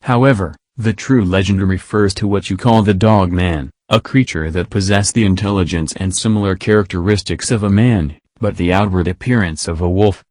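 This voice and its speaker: A computerized male voice narrating a scripted legend in a measured, formal storytelling way. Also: low voice, a little mellow, dry recording, clean studio sound. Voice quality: computerized synthetic voice. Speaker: host and narrator.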